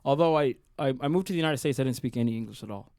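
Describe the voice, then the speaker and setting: Man, Arabic native speaker, middle pitch, hesitant casual speech, dry studio. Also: rapid-fire, no echo. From Sudan. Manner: relaxed, thoughtful, hesitant phrasing with fillers. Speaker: musician guest. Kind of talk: casual radio interview. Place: radio studio.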